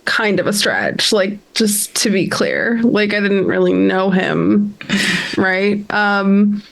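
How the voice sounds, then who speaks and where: A woman's voice: medium pitch, animated, takes it slowly, creaky. A woman playing a blunt, callous young survivor with a flippant, slangy way of talking in an improvised game. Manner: flippant, casual slangy delivery. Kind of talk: improvised in-character roleplay.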